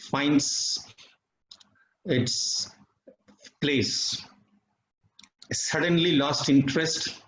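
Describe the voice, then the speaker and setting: A middle-aged man from Bangalore with an Indian English accent and Tamil as his mother tongue, giving a reflective, measured personal testimony with pauses. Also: a little high. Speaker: devotee sharing experiences. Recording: dull, slightly roomy recording with faint noise.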